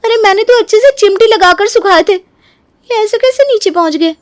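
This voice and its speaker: A woman voicing an indignant, wounded young wife in a melodramatic family story, shrill, plaintive and emphatic. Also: moderate pace. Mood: bewildered. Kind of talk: melodramatic Hindi story dialogue.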